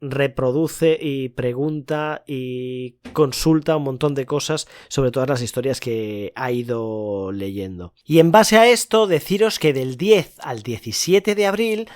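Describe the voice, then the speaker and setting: A man's voice: mid-range voice, a little mellow. A man with an accent from Spain, presenting a podcast in a conversational style as its host. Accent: Spain Spanish.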